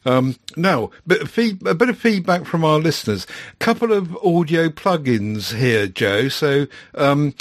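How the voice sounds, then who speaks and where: A man's voice: mid-range voice, no echo, studio quality. A man co-hosting a podcast, chatting casually and rambling with frequent restarts. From United Kingdom. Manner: rambling, self-correcting, chatty. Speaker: podcast co-host.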